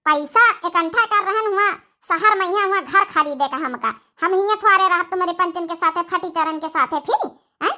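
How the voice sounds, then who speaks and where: Woman playing haughty cartoon daughter-in-law, Awadhi accent, very high pitch, sharp, scolding, boastful. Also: moderate pace, full character voice. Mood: indignant. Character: selfish, haughty younger daughter-in-law with a government job. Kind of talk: cartoon comedy acting.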